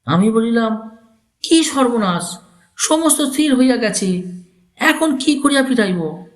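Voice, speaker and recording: A man acts out an elderly village uncle from a dramatized classic story. He talks very slowly and formally, laying out his schemes with cool calculation and deliberate phrasing. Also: very high voice, lively intonation. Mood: exasperated, self-justifying. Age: older. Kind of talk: dramatized literary story reading.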